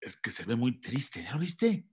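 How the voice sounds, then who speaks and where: Man acting a gruff, animated old grandfather. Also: medium pitch, fairly quick, lightly acted. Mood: worried. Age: older. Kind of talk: comedic table read acting. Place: home room on a video call. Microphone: laptop microphone.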